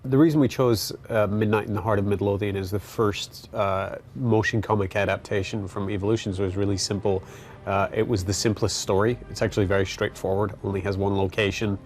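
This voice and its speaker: A man's voice: lowish voice, fairly even intonation, a little fast. A man speaking as a writer in a behind-the-scenes interview.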